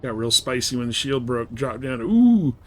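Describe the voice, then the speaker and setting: A man's voice: medium pitch, sing-song, moderate pace, a little hiss or hum. A man livestreaming casual, slangy gameplay commentary in a laid-back way.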